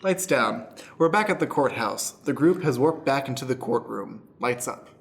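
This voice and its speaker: A man's voice: medium pitch, lively intonation, normal speed, gravelly. A man narrating as stage manager, setting scenes in an improvised radio play. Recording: echoey room, faint background noise.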